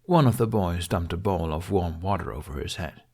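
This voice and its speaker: A man's voice: low voice, moderate pace, a little mellow. A man reading fantasy fiction aloud as a scripted audiobook narration.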